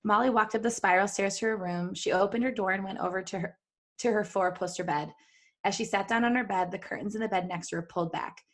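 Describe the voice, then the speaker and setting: A woman's voice: mid-range voice, fast talker. A woman co-hosting a podcast, reading fan fiction aloud in a giggly, jokey way and breaking off for casual asides. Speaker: podcast co-host.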